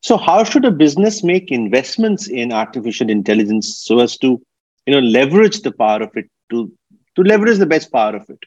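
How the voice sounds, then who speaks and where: A man's voice: mid-range voice, sing-song, brisk, a little mellow, husky. Host guy asking questions, kinda muffled lo-fi sound. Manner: brief affirmations, asks questions. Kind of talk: interview.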